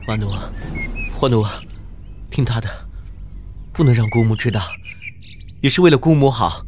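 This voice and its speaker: A man's voice: medium pitch, moderate pace, a little mellow, a little hiss or hum, lightly acted. A man playing an anguished, earnest young prince in a historical audio drama, speaking with emotion. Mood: resolute.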